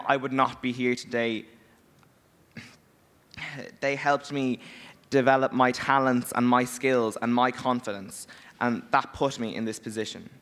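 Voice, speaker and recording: A man's voice: medium pitch, fairly bright tone. A sixteen-year-old Irish boy giving a formal, earnest prepared speech as a youth delegate on a conference panel. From Ireland. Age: teens. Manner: earnest, formal, well-paced delivery. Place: large conference hall stage. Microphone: handheld mic.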